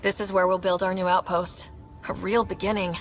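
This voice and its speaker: An actress playing a resolute young explorer and leader in a space game, direct and firm. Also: female voice, fairly low pitch, level tone, fairly quick, faint music behind, lightly acted. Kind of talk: video game cutscene acting.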